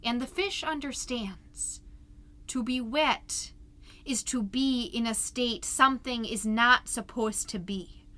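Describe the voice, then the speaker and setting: A woman's voice: on the high side, slow, bright, forceful tone. A woman playing a chirpy, gushing suburban PTA mom who hosts a podcast, delivering a comedic monologue in a theatrical, folksy and emphatic way. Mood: solemn, earnest reading. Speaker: podcast host.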